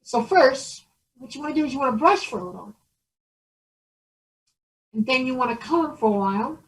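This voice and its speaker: A woman's voice: sits high, expressive, normal speed, low-quality recording. A woman voicing a timid, polite boy who hesitates and stammers as he pleads. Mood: helpful.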